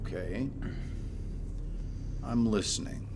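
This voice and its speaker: An actor playing a government agent questioning a detainee in a game cutscene, measured, dry and pointed. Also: male voice, on the low side, noisy background. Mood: dry, controlled. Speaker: interrogator. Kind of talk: video game cutscene acting.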